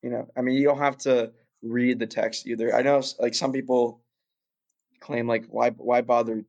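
A young man, born in Russia, talks through an interview in a relaxed, meandering way. His voice sits at an ordinary middle pitch. He fills pauses with "um" and "you know" and often restarts his sentences. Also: lo-fi sound. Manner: rambling, many fillers and restarts. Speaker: guest. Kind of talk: casual interview chat.

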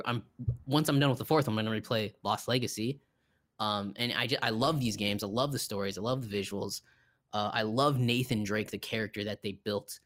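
A man co-hosting a podcast, chatting casually about a film in a rambling, halting way, repeating himself for emphasis. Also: fairly low pitch, a bit bright. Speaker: podcast co-host. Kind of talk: casual film review chat.

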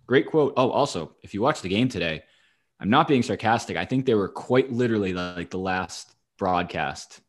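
Low-voiced man, dry sports banter. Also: expressive, normal speed. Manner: dry, wry, profane. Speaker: sports podcast host. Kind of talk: casual banter and sports commentary.

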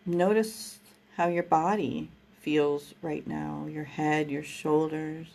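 A woman guiding a breathing meditation, speaking in a slow, soothing, gentle way. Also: sits low, fairly even intonation, creaky. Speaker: meditation guide.

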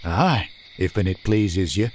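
A man's voice: sits low, animated, a little slow, a little mellow, slight background noise. A male narrator voicing a humble, nervous country stranger with old-fashioned rustic speech. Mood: pleading.

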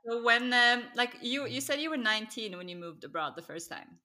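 A woman hosting a podcast, talking softly and hesitantly, with many fillers, in a casual interview. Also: fairly high pitch, expressive, fairly quick. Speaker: podcast host.

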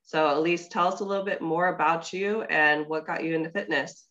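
A woman's voice: low-pitched, steady tone, brisk, a bit bright, lo-fi sound. A young woman hosting a podcast in casual chat, her voice congested from a cold. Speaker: podcast host.

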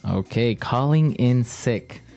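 A man co-hosting an English lesson podcast, upbeat, laughing often, slowing down to model phrases. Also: mid-range voice, lively intonation, creaky, mellow, highs cut off, soft background music. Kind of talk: casual teaching chat.

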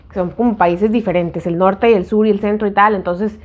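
A woman from northern Mexico with a northern Mexican accent, softened toward neutral, chatting casually with a friend in a relaxed, agreeable way. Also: mid-range voice, fairly quick. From northern Mexico (Sonora). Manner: relaxed, agreeable, frequent "ujú" backchannels. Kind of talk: casual chat between friends.

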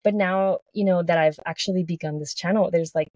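A woman's voice: on the low side, steady tone, fairly quick, darkish tone, dry recording. A woman in her thirties from Texas, a podcast guest talking earnestly in casual chat, with frequent restarts. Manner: earnest, with many restarts and "like" fillers.

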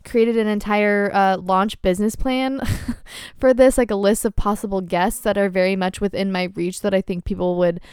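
Young woman in her twenties, podcast host, excitable and candid, smooth clear voice, dry close sound. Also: medium pitch, normal speed, no room sound. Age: 20s. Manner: excitable, candid, swears casually, frequent laughs and fillers. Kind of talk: casual solo chat.

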